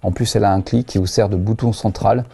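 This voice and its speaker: A man's voice: lowish voice, steady tone, fast talker, gravelly, mellow, slightly rough. A man giving a casual, chatty product review, explaining things in colloquial language. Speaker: motorcycle gear reviewer, host.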